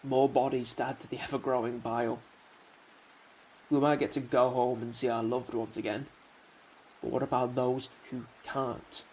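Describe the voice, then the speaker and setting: A bitter, homesick soldier, played by a man in a radio war drama. He broods aloud in rhetorical questions at a middling pitch. A faint hiss sits behind the voice. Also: level tone, moderate pace, a little mellow, close to an everyday voice, low-quality recording. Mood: bitter, resigned.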